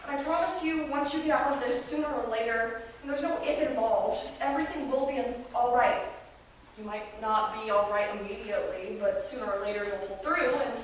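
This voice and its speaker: A woman's voice: on the high side, a bit bright, close to an everyday voice. A woman playing a feisty, blunt but caring young-show character in a staged reading, emphatic and earnest. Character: feisty, blunt yet consoling resident of troubled TV street. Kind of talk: theatrical stage acting. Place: staged reading in an echoey room. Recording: echoey room, distant mic, faint noise.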